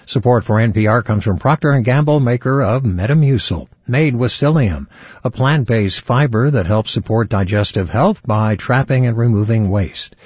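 A male newscaster reads news items and sponsor messages in a measured announcer delivery. His voice is husky. The studio sound is very dry and slightly muffled. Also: mid-range voice, darkish tone, no room sound. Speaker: radio newscaster.

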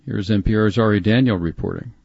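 A man reading a radio newscast in a steady, formal manner. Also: lowish voice, fairly quick, vocal fry, dry recording, lo-fi sound. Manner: steady, formal news delivery.

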